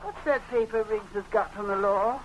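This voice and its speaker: An American actress playing a tired, practical miner's wife in 1930s radio drama style, speaking in plain rustic phrases. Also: female voice, mid-range voice, moderate pace, dull audio, lightly acted. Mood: practical, concerned. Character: weary, practical miner's wife in the Old West. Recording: noisy 1930s radio recording.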